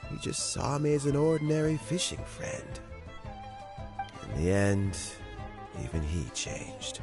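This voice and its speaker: An actor playing a dignified, gentle elderly statesman in a video game, speaking formally with a gravelly voice. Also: mid-range voice, big pitch swings, measured pace. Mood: rueful, reflective. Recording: game audio with music behind.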